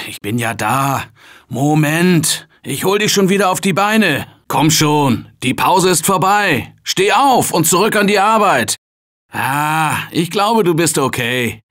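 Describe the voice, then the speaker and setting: A man voicing an upbeat male office worker surviving a zombie outbreak in a video game, calling to his team in an urgent, encouraging way. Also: fairly high pitch. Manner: urgent encouraging team calls, shouting under attack. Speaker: game character voice. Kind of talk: video game voice lines, dubbed.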